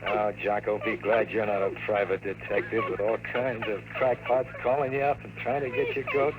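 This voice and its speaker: A man's voice: lowish voice, flat delivery, normal speed, very noisy, lightly acted. An American man acting a sardonic, hard-boiled private detective in a 1950s radio drama, delivering flippant lines and noir narration. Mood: playful with dog. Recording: vintage radio recording with music.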